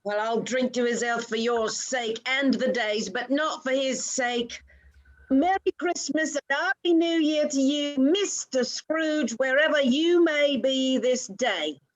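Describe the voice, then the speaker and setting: A spirited Victorian wife, played by a woman in a radio play. She speaks high and slowly, with deliberate theatrical phrasing, both tart and loving. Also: low-quality recording. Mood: indignant. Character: sharp-tongued but loving Victorian wife. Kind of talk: radio play acting, live reading.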